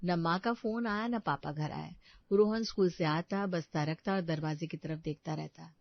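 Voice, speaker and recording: A woman narrating a moral bedtime story in a calm, measured, somber way. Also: on the low side, vocal fry, no echo. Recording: dull dry narration track.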